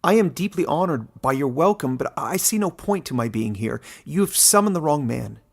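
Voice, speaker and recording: Man playing an earnest, protesting young exile, creaky-voiced. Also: fairly high pitch, expressive, normal speed, pitched above the performer's own voice. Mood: polite, doubtful. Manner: stammering at times, protesting.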